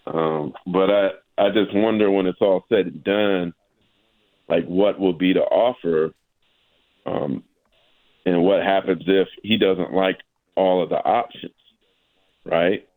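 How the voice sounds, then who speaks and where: A man talking basketball casually as a sportswriter guest, haltingly, repeating words and tagging his points with "right?". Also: deep voice, steady tone, darkish tone, dry recording, poor audio.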